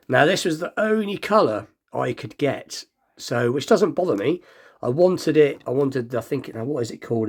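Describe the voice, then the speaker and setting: Male hobby vlogger, mid-pitched, a bit nasal with a slight cold, informal and thinking out loud. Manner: rambling, thinking aloud. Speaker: hobby unboxing video host. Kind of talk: casual chatty unboxing commentary.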